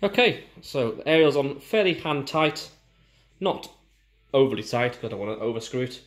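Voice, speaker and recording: A man's voice: mid-range voice, animated, moderate pace. Male, British accent, casual unboxing presenter, informal and explanatory. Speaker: product reviewer.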